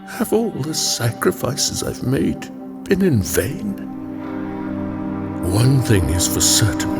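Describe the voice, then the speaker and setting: Devout, solemn plotter, played by a male actor: lowish, unhurried, theatrical audio-drama monologue under prominent music. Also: vocal fry, exaggerated voice. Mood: anguished, then grimly resolved. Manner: theatrical, grave, deliberate monologue. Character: solemn, devout, brooding schemer in a prayer temple.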